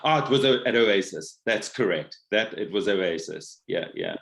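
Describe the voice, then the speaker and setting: A man's voice: lowish voice, expressive, normal speed, creaky, fairly bright tone. A middle-aged man from Africa, a podcast guest talking reflectively about his life, with many fillers and restarts. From South Africa. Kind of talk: casual interview conversation.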